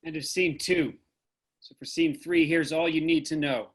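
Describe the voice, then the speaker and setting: A man plainly setting up the next scene of a reading, matter-of-fact and brisk. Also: a little high, steady tone. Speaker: director narrating scene notes.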